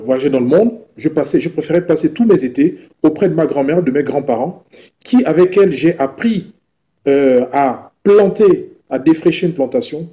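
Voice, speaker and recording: A man in his thirties from Gabon, speaking as an interview guest in a measured way with frequent hesitations. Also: on the high side, dark tone. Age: 30s. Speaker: entrepreneur guest. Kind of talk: interview answers.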